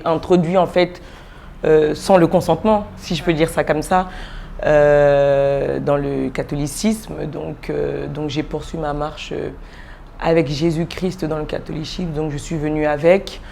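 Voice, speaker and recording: A woman in her forties from Congo, a pastor being interviewed, telling her story softly with many pauses, fillers and restarts. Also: vocal fry, slight background noise. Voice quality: low, soft voice with frequent fillers and restarts. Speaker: pastor, guest.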